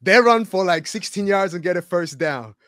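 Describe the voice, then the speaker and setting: A man hosting casual sports talk, telling stories in an animated, slangy way and repeating words for emphasis. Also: sits high, normal speed, dry recording, studio quality. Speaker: podcast host and former college football player.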